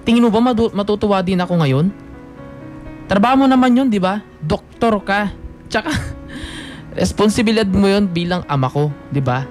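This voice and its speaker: Male actor doing bratty filipino college son, sarcastic taglish, radio drama w/ soft music behind. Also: sits high, moderate pace, long pauses, natural voice. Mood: accusing, bitter. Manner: sarcastic, mixes English and Tagalog. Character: sullen, insolent young adult son, a student.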